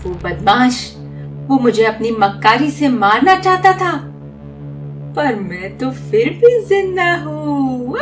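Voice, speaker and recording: Evil clingy cartoon witch, high swoopy voice, drags words out, music behind. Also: very animated, distant mic, exaggerated voice. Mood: spiteful, triumphant. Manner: theatrical, drawn-out words with cackling laughter. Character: wicked, possessive witch posing as doting mother. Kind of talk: Hindi cartoon fairy-tale voice acting.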